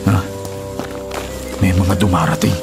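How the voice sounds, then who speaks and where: An actor playing a brazen, vengeful illegal logger, blustering and quick-tempered, in a radio drama. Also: male voice, sits low, fairly even intonation. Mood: alarmed. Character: brazen, vengeful male illegal logger, hot-headed villain. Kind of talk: Tagalog radio drama acting. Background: dramatic background music.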